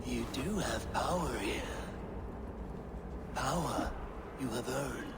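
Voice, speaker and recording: A man acting as a bitter, menacing leader of a secret order in a video game cutscene, speaking in a slow, grandiose, theatrical way. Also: mid-range voice, lively intonation, very noisy. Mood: grave.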